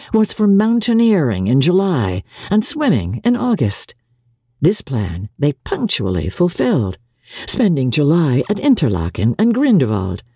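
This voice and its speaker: A woman reads classic fiction as a dramatic narrator. Her voice is very low and mellow, with a husky grain and lively, expressive movement in pitch. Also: no room sound, clean studio sound. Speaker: narrator, reader of classic literature.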